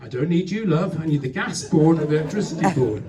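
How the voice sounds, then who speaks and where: A man's voice: on the high side, lively intonation, fast, lightly acted. A man voicing a forgetful, flustered elderly woman householder in a distant, noisy live recording. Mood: dismissive. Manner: abrupt, confused, calls people love.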